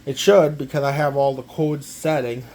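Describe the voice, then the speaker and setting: A man gives a casual, halting, step-by-step product walkthrough, often repeating himself. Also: mid-range voice, steady tone, noisy background. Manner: halting, repetitive, step-by-step explaining. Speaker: amateur gadget demonstrator.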